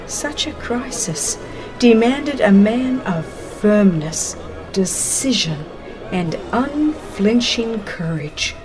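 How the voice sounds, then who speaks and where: A woman reads a religious history as a dramatized audiobook, in a solemn, measured manner with deliberate phrasing. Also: medium pitch, expressive, rough recording. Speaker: audiobook narrator. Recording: music under the voice. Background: background music.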